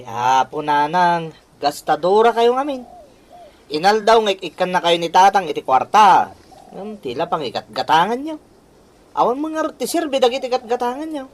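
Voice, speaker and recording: A man's voice: high-pitched, big pitch swings, moderate pace. An actor playing a put-upon grown son who complains about a spendthrift mother, in a radio comedy-drama style. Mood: exasperated griping.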